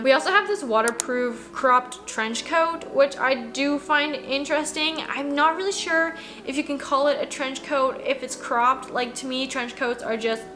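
A woman in her twenties chatting casually from her home office as she reviews new clothing releases, with plenty of "like" fillers. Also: on the high side. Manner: chatty, conversational, lots of "like" fillers. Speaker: fashion vlogger. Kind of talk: casual product review chat. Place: small home office, indoors.

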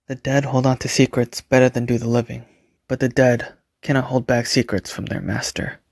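An actor playing a brooding, self-conscious young necromancer in a horror audio drama, speaking introspectively with a husky voice. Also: male voice, medium pitch, little pitch movement, normal speed. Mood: grave. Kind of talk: audio drama inner monologue.

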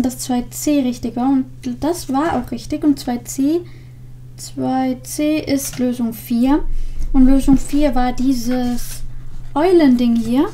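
Young female vlog host, high voice, unhurried delivery, close desk mic in a small room. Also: warm tone. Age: young adult. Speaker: video host. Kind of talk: casual vlog with story reading. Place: indoors, small room with green screen. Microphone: desk microphone with pop filter.

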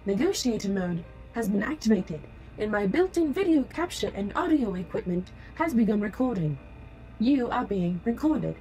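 A woman's voice: mid-range voice, very animated, normal speed, dark tone, close to an everyday voice, low-quality recording. A woman playing a polite, rule-bound female android unit in an audio drama, speaking formally and procedurally. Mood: official, warning. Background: music.

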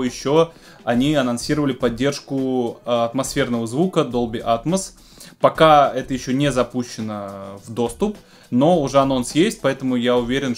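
Male host, 30s, medium-pitched voice, casual gear-news presenting to camera, background music. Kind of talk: news roundup to camera, semi-scripted casual talk. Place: small home studio room with keyboards.

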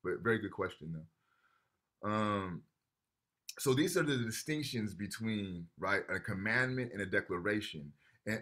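Bright-voiced man lecturing over video call. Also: mid-range voice. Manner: casual, repeats "right?", reads texts aloud.

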